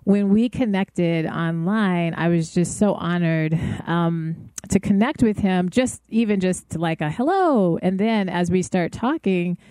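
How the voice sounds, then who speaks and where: A woman's voice: fairly low pitch, a little slow, hardly any pauses, mellow, clean tone, dry recording. A woman co-hosting a music business podcast, enthusiastic and effusive as she introduces a guest. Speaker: podcast co-host. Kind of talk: casual chat.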